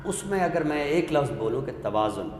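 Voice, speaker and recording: A man's voice: fairly high pitch, expressive, a little mellow. Scholarly man in an echoey room. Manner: measured, scholarly, with rhetorical questions. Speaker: literary expert guest on a talk show. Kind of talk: explanatory literary discussion. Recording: echoey hall-like room with faint noise.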